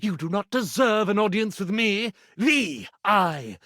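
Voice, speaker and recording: An actor playing a pompous stage magician in a video game, declaiming in grandiose, archaic phrases and boasting of dark powers. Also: male voice, high voice, lively intonation, measured pace, dry recording, clean studio sound, exaggerated voice. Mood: indignant, haughty. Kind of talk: theatrical video game character acting.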